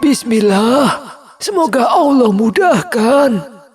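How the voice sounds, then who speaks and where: Female actor as gentle cartoon townsperson, high, very expressive pitch, kind and devout. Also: takes it slowly, soft background music. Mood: grateful, devout. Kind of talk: animated children's story acting.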